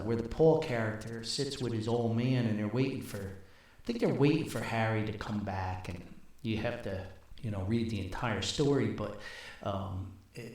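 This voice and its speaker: A man's voice: fairly low pitch, darkish tone. Older man reminiscing, heavy echo. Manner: folksy, rambling, halting with repeated words. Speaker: podcast host, author. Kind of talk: nostalgic storytelling monologue. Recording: very echoey, reverberant voice recording.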